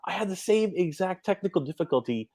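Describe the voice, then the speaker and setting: Excitable man with a lively, dry-sounding voice. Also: sits high, quick pace, no echo. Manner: excitable, repeats and stumbles over words. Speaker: guest co-host. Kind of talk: casual live-stream chat.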